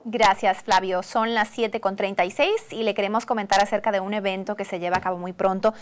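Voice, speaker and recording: A woman presenting a morning TV show, brisk and upbeat, interviewing a guest on a lapel mic in a news studio. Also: mid-range voice, fairly even intonation.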